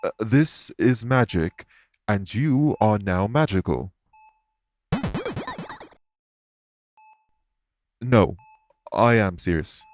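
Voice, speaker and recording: A man voicing a calm, courteous winged elf in an audio roleplay, speaking formally and patiently to reassure the listener. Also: fairly low pitch, slow, a little hiss or hum. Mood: urgent, soothing.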